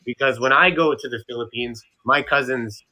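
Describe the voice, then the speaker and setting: Casual guy from canada, young, rambly with um and uh, super bright tone. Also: mid-range voice, poor audio. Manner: rambling, halting with many fillers and restarts. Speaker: podcast guest, streamer. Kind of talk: casual interview chat.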